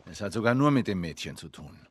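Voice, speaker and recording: An actor playing a gruff, weary middle-aged male survivor in a video game, terse and stubborn, with a husky voice. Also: lowish voice, very animated, fast, big-room sound. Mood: guarded, insistent. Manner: terse, insistent, easily exasperated. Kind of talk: dramatic video game acting.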